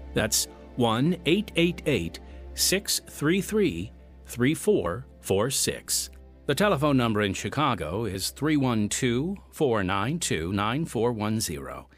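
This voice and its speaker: Expressive male radio announcer over music. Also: medium pitch, breathy edge. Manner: measured, earnest, direct address to listener. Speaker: radio program host and announcer. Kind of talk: scripted narration with scripture reading and sign-off.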